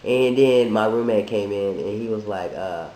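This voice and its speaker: A man who is sick, talking in a halting, thoughtful way with many restarts, in a reflective personal monologue. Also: fairly low pitch, warm tone. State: sick, voice deeper than usual. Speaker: vlogger sharing a transition update. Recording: echoey room with faint hiss.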